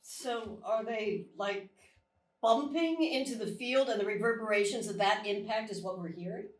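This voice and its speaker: An actress in a live script reading playing a stern, unflinching colonel with a firm, curt, commanding manner. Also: female voice, mid-range voice, normal speed, close to an everyday voice. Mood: tense, businesslike. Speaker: actor in a live screenplay table read. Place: small live theatre stage. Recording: distant, echoey live theatre recording.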